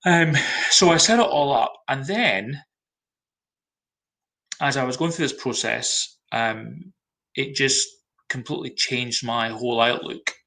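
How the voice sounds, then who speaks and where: A middle-aged Scottish man, a brand consultant, talking in a webinar at a measured pace with frequent ums. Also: medium pitch, creaky, bright, forceful tone, poor audio. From Scotland. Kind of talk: webinar talk.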